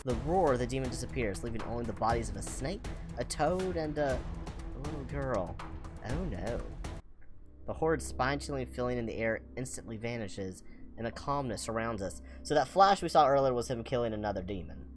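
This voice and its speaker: A game streamer reading visual novel narration aloud with expressive, playful asides. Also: mid-range voice, a little fast, over music. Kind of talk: playthrough commentary and read-aloud narration.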